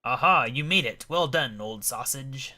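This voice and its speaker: Pompous old gent, animated. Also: male voice, mid-range voice, unhurried, pitched above the performer's own voice. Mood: jolly, blustering. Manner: blustering, exclamatory, old-fashioned genteel speech. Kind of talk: voicing video game dialogue.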